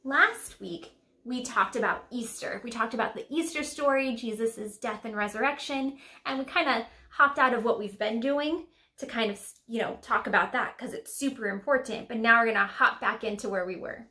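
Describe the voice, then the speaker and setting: High-voiced young woman teaching kids. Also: normal speed, bright, forceful tone. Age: young adult. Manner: simple, chatty, questioning address to children. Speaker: children's church teacher and host. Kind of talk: children's Sunday school lesson to camera. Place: small decorated room indoors.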